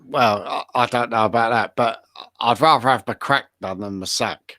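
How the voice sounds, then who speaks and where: A man chatting casually in a halting way as a guest on a show. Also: mid-range voice, bright, forceful tone, dry recording, clean studio sound. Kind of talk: casual chat.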